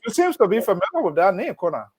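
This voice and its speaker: A man hosting a radio interview, lecturing listeners in a stern way with sudden emphatic jumps in pitch. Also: very animated, quick pace, over a noisy crowd. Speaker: radio host.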